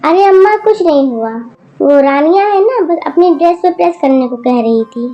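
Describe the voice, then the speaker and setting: A woman voicing a patient mother who calmly placates her family. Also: high voice, normal speed, muffled recording, faint music behind. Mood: appeasing. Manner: calm, appeasing, gentle. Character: patient, soft-spoken mother of a grown daughter.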